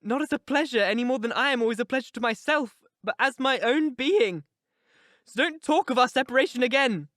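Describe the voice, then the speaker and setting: A reader voicing a headstrong young woman, pitched well above the narration and pushing hard on her words. She is impetuous and declamatory, caught up in passion. The recording is dry and close, with no room around it. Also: animated, a little fast, a bit bright, no echo. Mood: impassioned. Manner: intense, emphatic, declamatory. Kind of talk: audiobook character reading.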